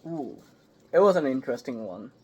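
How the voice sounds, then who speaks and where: A young man, a voice actor, chatting casually in an interview, halting and filling with "like" and "yep". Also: medium pitch, very animated, mellow, breathy edge. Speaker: voice actor guest. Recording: slightly muffled call audio.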